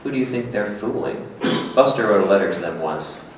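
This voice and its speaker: A man in his thirties reading the part of a dry, blunt, sceptical companion in a staged script reading, deadpan and terse. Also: on the low side, normal speed, natural voice. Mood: musing, dry. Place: small hard-walled gallery room, indoors. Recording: very echoey room, distant, faint noise.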